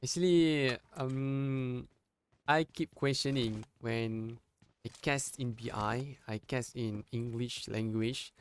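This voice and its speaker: Male Malaysian esports caster, unhurried, hesitant phrasing, gets louder in fights. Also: clear, resonant tone. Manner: halting, with frequent restarts and fillers; rises in pitch and volume at fights. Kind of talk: live game commentary.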